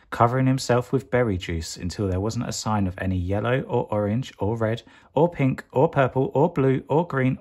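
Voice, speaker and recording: Man reading a children's bedtime story. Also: medium pitch. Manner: expressive, deliberate, drawn-out emphasis on key words. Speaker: storyteller reading a children's picture book aloud. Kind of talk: bedtime story read-aloud.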